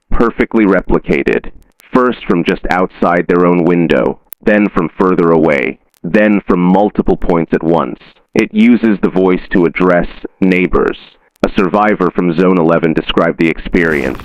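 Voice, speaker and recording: A man reading a scripted horror report like a documentary, in a measured, solemn and deliberate manner. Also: deep voice. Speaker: narrator of a horror story. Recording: dull lo-fi sound with room echo.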